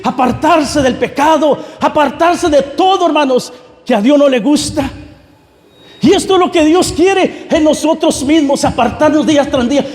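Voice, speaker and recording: Very high-pitched male preacher in an echoey hall. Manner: exhorting, often addressing the congregation directly; fervent, repetitive phrasing. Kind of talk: Pentecostal-style sermon. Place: large reverberant room, church service. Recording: echoey hall with long reverb.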